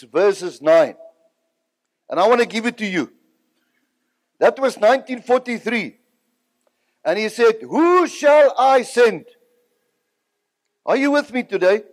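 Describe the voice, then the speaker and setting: High-pitched older South African man preaching. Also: dry recording. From Southern Africa, born in Zimbabwe, raised partly in South Africa. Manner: emphatic, repeats phrases for effect. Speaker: preacher giving a testimony. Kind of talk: sermon-like personal testimony, storytelling to an audience.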